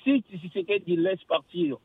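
Man from the congo, impassioned caller, high animated voice, husky, tinny phone line. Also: normal speed, dry recording. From Democratic Republic of the Congo. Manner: impassioned, emphatic, swooping intonation. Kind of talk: call-in radio opinion.

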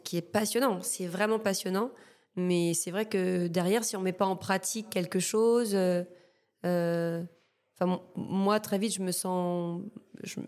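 A young woman from Martinique with a Martinican French accent, talking casually as a guest artist, halting with many fillers and repeated words. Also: mid-range voice, a little mellow. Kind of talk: casual interview chat.

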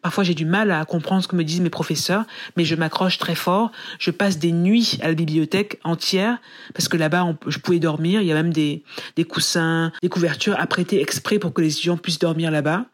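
French woman telling her career story. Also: fairly low pitch. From France. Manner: animated storyteller with frequent pauses and restarts. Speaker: podcast guest and author. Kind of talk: personal career story told in conversation.

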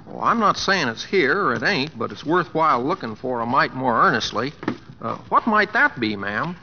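An actor playing an easygoing, teasing young cowboy with an American West accent, polite and folksy, in a 1950s radio drama. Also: male voice, medium pitch, big pitch swings, normal speed, sharp tone, heavily acted. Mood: wry teasing. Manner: folksy, polite, hesitant with uhs. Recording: muffled vintage radio broadcast.

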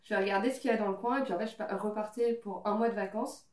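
A woman's voice: medium pitch, steady tone, rapid-fire, darkish tone. A woman in her twenties, a podcast guest, telling stories casually with frequent laughs and fillers. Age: 20s. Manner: chatty storyteller, laughs often, many fillers. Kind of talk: casual chat among friends. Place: living room, around a coffee table. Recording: roomy sound from a distant mic.

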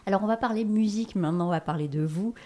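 A woman's voice: medium pitch, very fast, warm tone. A woman interviewing a band, prompting them with questions. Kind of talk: radio-style interview.

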